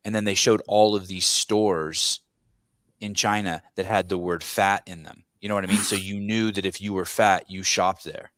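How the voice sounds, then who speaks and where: A man hosts a podcast conversation in a deep, low voice, close and dry on the mic. He grew up speaking Armenian and talks in a blunt, animated way, stumbling over restarts as he builds his point. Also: normal speed, dry recording. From United States. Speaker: podcast host. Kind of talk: conversational interview.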